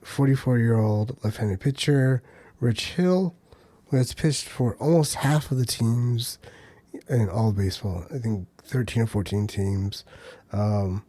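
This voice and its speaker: A man's voice: medium pitch, measured pace, warm tone, dry recording. A man hosting a podcast, talking through the week's news in a loose, conversational way with many ums and uhs. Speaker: podcast host. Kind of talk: solo casual commentary.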